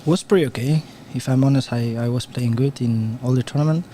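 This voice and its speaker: A young man from Spain with a Spanish accent, a guest player commenting on pool in halting, restarting English from a tournament booth. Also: medium pitch, dark tone. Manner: halting non-native English with frequent restarts.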